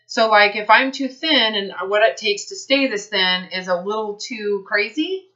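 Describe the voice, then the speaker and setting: A woman's voice: medium pitch, measured pace, sharp tone. A woman health advisor leading a consultation on the phone, asking direct, probing questions. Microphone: phone held to mouth. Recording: dull lo-fi phone line.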